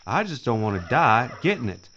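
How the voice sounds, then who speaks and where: A man's voice: medium pitch, sing-song, a little fast, fairly bright tone, a little hiss or hum. Man doing a jittery sidekick, voice jumping up and down, kinda muffled. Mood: worried. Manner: worried, pleading. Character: nervous male bounty hunter sidekick Eustace. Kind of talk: audio drama acting.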